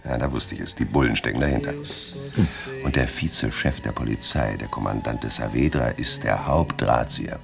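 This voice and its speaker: Gravelly, very deep weary writer. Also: male voice, very animated, normal speed, music in the background, full character voice. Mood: brooding, wry. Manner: brooding, storytelling, wry. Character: fearful, dogged middle-aged male crime writer, wry and weary. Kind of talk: German radio crime drama acting.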